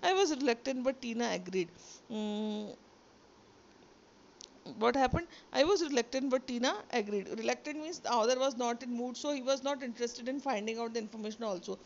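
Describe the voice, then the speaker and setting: A woman's voice: fairly high pitch, brisk, muffled recording, a little hiss or hum. A woman teacher with an Indian accent, a native Sindhi speaker, reading a textbook story aloud and then explaining it line by line. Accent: Indian English. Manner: reads aloud then re-explains each line, switching into Hindi. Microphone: phone or screen recorder mic.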